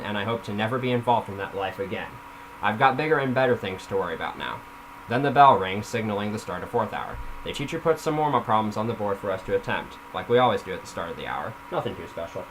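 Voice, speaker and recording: A man with a fairly low voice reads a story aloud in an informal way, slipping between the text and his own critical commentary. The recording has clear background noise. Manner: informal, self-critical commentary while reading. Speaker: reader of their own story. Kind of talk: casual read-aloud of a story with off-script asides.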